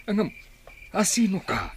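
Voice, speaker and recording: Anxious captive chief, animated voice. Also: male voice, high voice, a little slow, a little hiss or hum, full character voice. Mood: wary, relieved. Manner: tense, questioning, talking aloud to himself. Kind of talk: radio drama acting.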